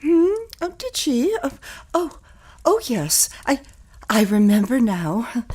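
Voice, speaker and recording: A woman's voice: a little high, very animated, slow pace, a little mellow, slightly rough, exaggerated voice. Faltering, forgetful mother played by an actress. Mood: confused. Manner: faltering, hesitant, absent-minded. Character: vague, forgetful, fragile mother. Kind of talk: period radio drama acting.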